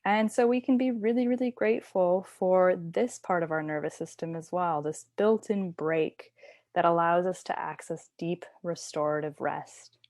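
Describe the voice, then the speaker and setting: A woman teaching yoga nidra, giving guided relaxation instructions in a soothing, unhurried, gentle way. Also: lowish voice, no echo, studio quality.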